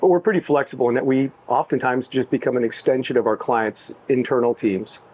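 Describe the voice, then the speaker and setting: A middle-aged man talking about his work in an easygoing, halting way, with many restarts. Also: mid-range voice, darkish tone, a little hiss or hum. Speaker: tech executive, interviewee. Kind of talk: casual backstage interview.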